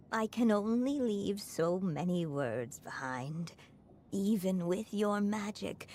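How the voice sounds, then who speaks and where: An actress playing a gentle, earnest mother and writer in a video game, speaking softly and in measured phrases. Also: mid-range voice, a little hiss or hum, lightly acted. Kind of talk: scripted video game voice acting.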